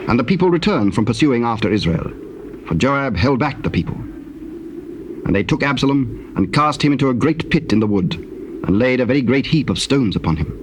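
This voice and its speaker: A man reads scripture as a formal narrator. His mid-range voice tells the story in measured phrases. The old tape is clearly noisy. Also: medium pitch.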